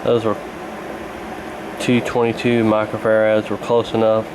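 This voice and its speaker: A man narrating a repair casually in an unhurried, halting, matter-of-fact way. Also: on the low side, monotone, noisy background. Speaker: radio repair hobbyist presenting.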